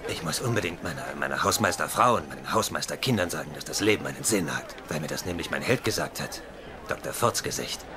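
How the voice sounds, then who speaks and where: A bitter, middle-aged hospital janitor in a TV comedy dub. The voice is deep and taunts with deadpan mock gratitude. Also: male voice, fast talker, background noise. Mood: sarcastic, taunting. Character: bitter, sarcastic 37-year-old hospital janitor. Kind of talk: German-dubbed TV comedy acting.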